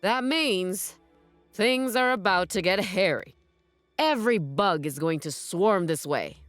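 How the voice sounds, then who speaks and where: An actress playing a blunt, skeptical field officer in a video game, speaking in a businesslike, dry way. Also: female voice, medium pitch, expressive, slow pace, fairly bright tone, no echo, soft background music. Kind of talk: scripted video game acting.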